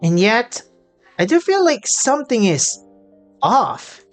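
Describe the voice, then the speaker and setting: Male playthrough commentator: casual, giggly, hesitant, unhurried, with a widely moving mid-pitched voice. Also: very animated, soft background music. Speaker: gaming channel host.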